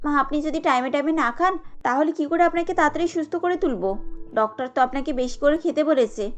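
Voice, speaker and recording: A woman's voice: sits high, brisk, muffled recording, soft background music, close to an everyday voice. A woman playing a timid, caring young daughter-in-law in a cartoon family drama, speaking earnestly and deferentially. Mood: caring, reassuring.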